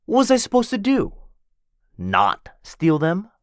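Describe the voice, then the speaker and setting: Man doing a flirty male werewolf, teasing, possessive, low-pitched, unhurried, dry close sound. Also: huge pitch swings, no echo, exaggerated voice. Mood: playful.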